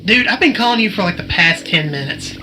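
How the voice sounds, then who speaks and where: A man's voice: high-pitched, animated, a little fast, background noise. A man playing an informal, practical studio partner in a mystery audio drama. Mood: anxious, urgent. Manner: informal, buddy-like. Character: jittery, practical male studio partner, voice of reason. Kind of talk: naturalistic audio drama acting.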